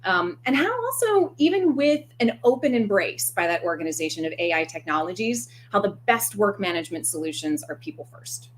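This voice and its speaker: A woman's voice: mid-range voice, big pitch swings, fairly quick, hardly any pauses, a little hiss or hum. An American woman speaking as a panelist in a discussion, articulate and enthusiastic. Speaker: business consultant, panelist.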